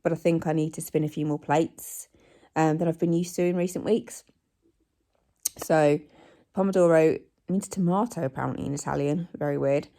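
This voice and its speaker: A woman in her forties, hoarse from a cold, chatting casually in a home vlog recorded on her phone, rambling with plenty of ums and likes. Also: low voice, steady tone, moderate pace, warm tone. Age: 40s. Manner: rambling, self-deprecating, many ums and likes. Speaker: vlogger. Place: living room at home. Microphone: phone camera.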